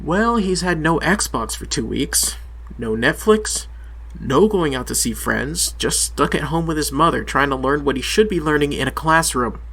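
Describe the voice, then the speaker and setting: A man's voice: a little high, animated, normal speed, fairly bright tone, husky, heavily acted. An actor playing a small-town police chief and father, firm, curt and ready to swear. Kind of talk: audio drama acting.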